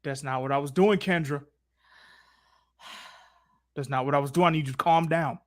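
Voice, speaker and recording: An American man hosts a live stream in a fairly high voice, recorded dry and close. He chats casually with his viewers, effusive and full of slang and swearing. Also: normal speed, no room sound. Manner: informal, slangy, profane, effusive.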